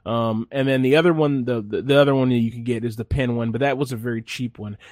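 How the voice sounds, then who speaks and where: A man's voice: medium pitch, fairly even intonation, fast talker, a little mellow, no echo. Laid-back rambling man, dry close sound. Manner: rambling, laid-back storytelling with many fillers and restarts. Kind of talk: casual chat.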